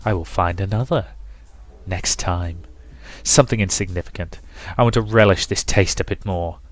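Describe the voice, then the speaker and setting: Man voicing a hushed, ravenous male incubus lover. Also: sits low, moderate pace. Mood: hungry, obsessive. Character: seductive ravenous male incubus, breathy, gloating, obsessive lover. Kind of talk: dramatic visual novel reading.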